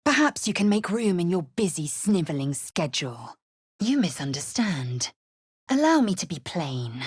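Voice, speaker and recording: Fierce githyanki warrior, low husky voice, clipped commanding delivery, disdainful, video game character, dry close recording. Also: female voice, very animated, moderate pace, no room sound. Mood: curt, commanding. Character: fierce, imperious alien githyanki warrior woman, curt and scornful.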